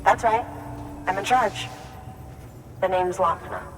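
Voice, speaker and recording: A woman's voice: medium pitch, takes it slowly, close to an everyday voice. An actress playing a stern, defiant woman in charge of a city, curt and sarcastic, in a dramatic video game scene. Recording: roomy long reverb, distant, faint noise.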